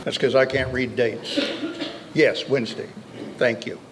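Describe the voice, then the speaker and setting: A man's voice: mid-range voice, steady tone, unhurried, noisy background. A man in his sixties giving church announcements from a lectern, genial and joking, with many fillers. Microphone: lectern mic, filmed from mid-room.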